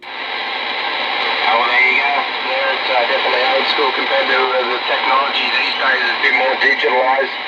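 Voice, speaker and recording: A man's voice: medium pitch, monotone, moderate pace. An Australian man chatting casually and in a rambling, matey way as a radio operator, heard over a two-way radio. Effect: two-way radio transmission. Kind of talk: casual radio contact chat. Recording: dull, very noisy radio reception.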